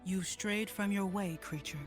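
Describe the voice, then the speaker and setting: An actress playing a calm, wise spiritual warrior champion in a video game, speaking in a measured, solemn way. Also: female voice, mid-range voice, faint music behind, lightly acted. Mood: stern.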